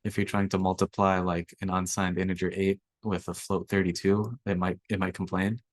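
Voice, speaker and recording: A young man, slightly raspy from allergies, walking through a coding workshop in a relaxed way with lots of ums. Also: low-pitched, moderate pace, no room sound. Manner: relaxed, filler-heavy explaining. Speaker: workshop instructor. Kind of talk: screen-share coding tutorial.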